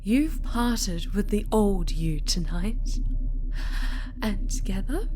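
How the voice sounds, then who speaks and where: Slow, theatrical, possessive dark superheroine. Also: female voice, medium pitch, pitched above the performer's own voice. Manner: slow, breathy, theatrical delivery with drawn-out pauses and whispered words. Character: possessive, obsessive dark winged female superhero, sinister and adoring. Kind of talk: dramatic ASMR roleplay acting.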